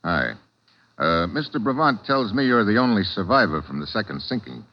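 A man's voice: lowish voice, big pitch swings, normal speed. A man playing a wry, tough American agent in a 1940s radio drama, asking questions in a casual, clipped way. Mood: probing, cool. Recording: dull vintage radio recording.

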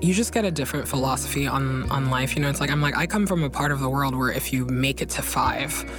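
A woman's voice: extremely low pitch, quick pace. Woman as interview guest, candid and informal, leaning on repetition for emphasis, music playing underneath. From Guinea and Belgium. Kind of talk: candid casual conversation.

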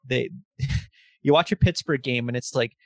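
A man's voice: medium pitch, unhurried, no room sound. A young man co-hosting a casual sports chat, easygoing and fond of telling stories. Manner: easygoing, storytelling, teasing. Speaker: podcast co-host.

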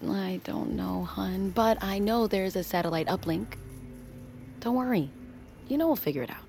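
A woman's voice: medium pitch, normal speed, gravelly, darkish tone, lightly acted. An actress playing a determined, wry woman submersible pilot in a naturalistic audio drama, talking casually and frankly. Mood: reassuring, pressed.

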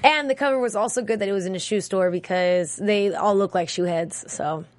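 A woman co-hosting a casual TV recap, lively and teasing, laughing often. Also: mid-range voice, a little fast, dry recording. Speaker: podcast co-host.

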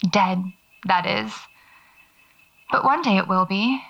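Pensive, wry female horror writer, reflective diary monologue, gravelly fry, dulled cassette sound. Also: mid-range voice, slow pace, a bit bright, dull audio. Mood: pensive, wistful. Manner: musing, reflective. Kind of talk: audio drama monologue.

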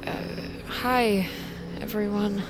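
A woman's voice: medium pitch, fairly even intonation, takes it slowly. A woman playing a guarded, hurt, reluctant teenage girl in a naturalistic audio drama, speaking in a hesitant, understated way. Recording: clear vehicle and ambient noise.

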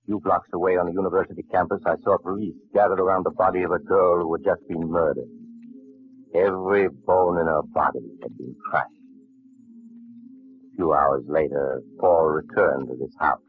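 A man acting a grave, formal doctor who narrates uncanny tales in an old radio drama, speaking deliberately. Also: deep voice, fairly even intonation. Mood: grave, ominous. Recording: muffled old radio broadcast.

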